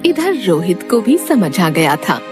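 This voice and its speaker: A woman narrating a scripted story in an expressive storytelling manner. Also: lowish voice, a little slow, over music.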